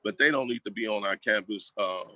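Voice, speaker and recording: Bright, forceful American man, emphatic and colloquial. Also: fairly low pitch, a little fast, no room sound. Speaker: school district equity staff member and community advocate. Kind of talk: casual conversational interview.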